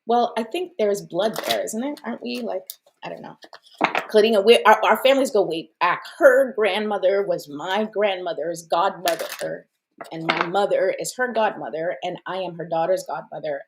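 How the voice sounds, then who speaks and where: A woman's voice: on the low side, normal speed, gravelly, background noise. A woman whose first language was Portuguese, hosting a live stream and reading oracle cards in an effusive, chatty way with many fillers and small laughs. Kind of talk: casual live-stream chat.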